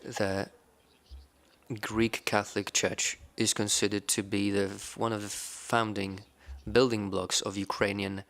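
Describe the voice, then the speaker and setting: A man interpreting a conference panel into English, in an even, measured delivery with small fillers. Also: lowish voice. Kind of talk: simultaneous interpretation.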